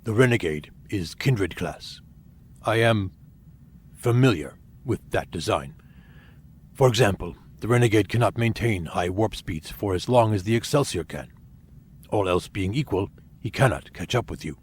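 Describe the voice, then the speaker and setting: A grave alien warrior officer acted by a man with a fairly low voice. He is formal and deliberate, honorable yet secretive, in a sci-fi audio drama. A light noisy ambience sits behind him. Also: expressive, darkish tone, close to an everyday voice. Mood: grave warning.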